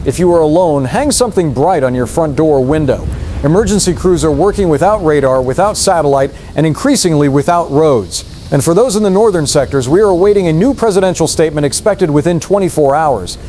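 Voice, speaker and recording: Casting: male actor as measured emergency radio anchor, official tone, slightly high pitch, faint rumbling noise. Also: lively intonation, mellow, lightly acted. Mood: grave, official. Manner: formal, measured. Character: calm, formal male emergency news anchor of apocalypse. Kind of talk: scripted emergency radio bulletin.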